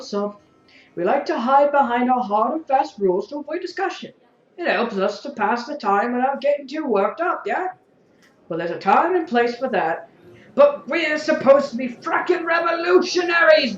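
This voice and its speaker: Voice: high-pitched, fairly bright tone, echoey room, muffled recording. An actor playing a fiery, sarcastic young revolutionary council member in an audio drama, arguing points and tagging them with "yeah?". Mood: impassioned, indignant. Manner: argumentative, sardonic, tags sentences with "yeah?". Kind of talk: audio drama acting, debate speech.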